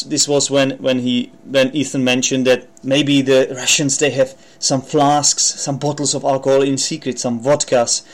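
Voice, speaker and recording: A man from the Czech Republic with a Czech accent, an English teacher explaining idioms on his podcast in a chatty way, with many fillers and restarts. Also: mid-range voice.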